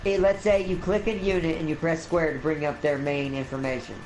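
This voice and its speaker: A man giving casual gameplay commentary and teaching a co-player, halting and often repeating himself. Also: a little high, steady tone, music in the background. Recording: roomy echo, loud background noise.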